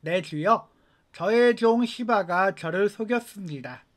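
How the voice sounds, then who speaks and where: A man reads scripture aloud from a written text. His voice sits high for a male speaker. The delivery is that of scripted Bible narration, carrying both the narrative and the quoted dialogue. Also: animated, measured pace. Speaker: scripture reader.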